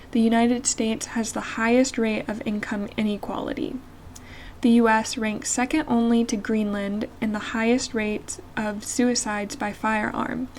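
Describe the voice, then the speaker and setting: Woman, higher voice, earnest essay reader, slight background hum. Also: darkish tone. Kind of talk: reading an essay aloud.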